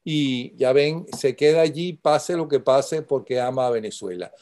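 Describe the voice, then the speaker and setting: A man hosting an interview, talking briskly and cutting in. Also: mid-range voice, a little mellow. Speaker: host. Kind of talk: interview conversation.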